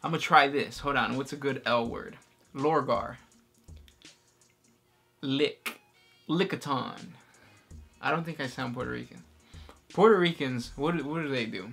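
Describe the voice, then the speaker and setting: Bright-voiced joking man co-hosting. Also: medium pitch, a little slow, creaky, pauses often, breathy edge, soft background music. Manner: laid-back, joking, trailing off with ums. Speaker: co-host. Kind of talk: casual chat.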